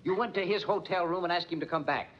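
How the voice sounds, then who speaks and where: An actor playing a tough, badgering police lieutenant, insistent and forceful, in 1940s radio acting on an old muffled recording. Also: male voice, sits high, fast. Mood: relentless accusation. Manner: insistent, forceful interrogating, tough-guy slang. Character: hard-nosed, badgering male police lieutenant.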